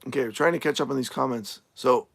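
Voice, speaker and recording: Gravelly man rambling casually on a live stream. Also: mid-range voice, quick pace, fairly bright tone. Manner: rambling, easygoing, many fillers and restarts. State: voice getting a little hoarse. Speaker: nomad RV YouTuber answering live chat. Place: RV camp in the desert, outdoors.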